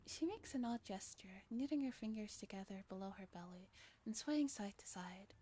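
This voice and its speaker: A soft-spoken woman reading a visual novel aloud in a gentle ASMR style, giggly and sometimes stumbling over lines. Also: mid-range voice, animated, normal speed, slight background noise.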